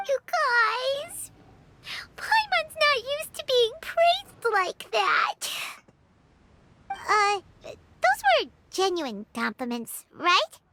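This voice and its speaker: A tiny fairy sidekick with an extremely high, squeaky voice. She is excitable and easily flustered, swooping up and down as she exclaims, in childlike video game style. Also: extremely slow, slight background noise, full character voice. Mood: bashful, touched. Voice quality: squeaky, piercing. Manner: speaks of herself in third person, exclamatory. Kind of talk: video game character acting.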